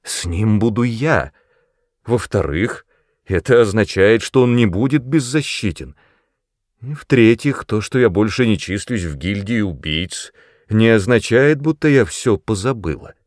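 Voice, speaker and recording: A man's voice: on the low side, expressive, measured pace, dry recording, studio quality. A man voicing a playful, self-assured woman adventurer in an audiobook, teasing and assertive. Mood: firm, reasoning.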